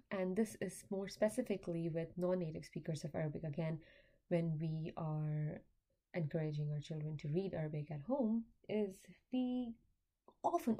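A young woman, a graduate student, hosting an educational podcast, explaining in a measured way and speaking directly to parents. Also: fairly low pitch, mellow. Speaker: podcast host; graduate student in applied linguistics. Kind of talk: scripted educational podcast talk.

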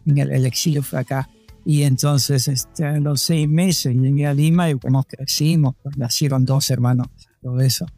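Older man with a gravelly, mellow voice. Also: mid-range voice, fairly even intonation, moderate pace, no room sound, soft background music. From Panama. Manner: hesitant, many restarts and fillers. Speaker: guest. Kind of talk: relaxed interview answers.

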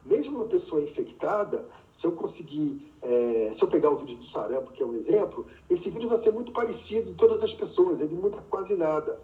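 A man's voice: a little high, animated, fairly quick. Man, physician guest, patient teacherly explaining, phone-quality sound, roomy echo. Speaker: physician and pathology researcher, podcast guest. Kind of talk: explanatory interview answer. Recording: distant, echoey, phone-like remote audio.

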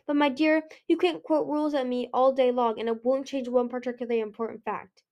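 Lady putting on a smug evil dragon queen, high voice, drawly and haughty, fantasy story. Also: fairly even intonation, a little fast, dark tone. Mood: smug menace. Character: imperious, mocking, villainous older dragon queen.

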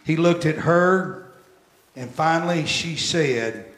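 Man in his 60s, pastor mid-sermon. Unhurried delivery, swings from loud repeats to near-hush, boomy room. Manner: emphatic, repeats phrases and swells loud, then drops very soft. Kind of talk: sermon with storytelling. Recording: echoey church room.

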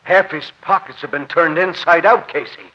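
A man's voice: on the high side, normal speed, bright, forceful tone, husky. A male actor playing an earnest, flustered, sometimes hesitant policeman in a vintage radio drama. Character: flustered, earnest policeman, deferential but officious. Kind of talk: 1940s radio drama acting. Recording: muffled, hissy vintage radio recording.

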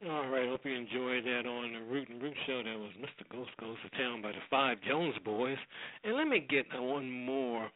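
A man's voice: medium pitch, moderate pace. A man presenting an old-time radio show casually, in an off-the-cuff, hesitant way. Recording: thin phone-like sound, roomy echo.

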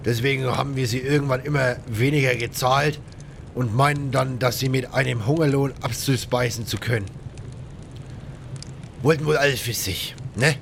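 A man voicing a rough, chatty pirate in a game audio drama, rambling in coarse colloquial speech with grudges. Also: steady tone, normal speed, fairly bright tone. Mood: gruff, aggrieved. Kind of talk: acted game dialogue, colloquial storytelling. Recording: faint rumbling background noise.

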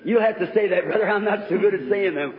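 A man's voice: high-pitched, quick pace. A man preaching fervently to a revival congregation as an evangelist, exhorting his listeners. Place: large reverberant meeting hall. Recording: tinny, noisy, echoing old hall recording.

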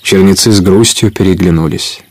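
A man's voice: sits low, moderate pace, dry recording. A man narrating a story for a Russian audio play in a scripted storytelling style.

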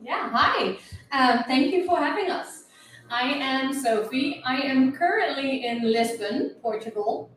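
A woman's voice: high-pitched, lively intonation, unhurried, a bit bright, a little hiss or hum. A woman with a Dutch accent, a coach and guest, answering interview questions in a reflective way with frequent fillers. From Netherlands. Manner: reflective, talks with hesitant fillers. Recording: roomy audio from far off the mic.

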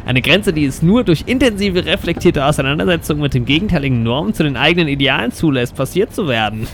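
A man's voice: a little high, lively intonation, quick pace, fairly bright tone, slight background noise. A man playing a scheming villain double in a comic audio play, reading out his lines in a stilted way. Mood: stilted, pompous.

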